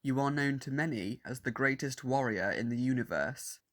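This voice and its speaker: Cold war-machine cyborg male performer, stiff formal delivery at middling pitch, full of hatred for humans, audio drama. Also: normal speed, fairly bright tone, close to an everyday voice. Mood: solemn, suspicious. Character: cold, hostile cyborg warrior who despises humanity. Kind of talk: fan audio drama acting.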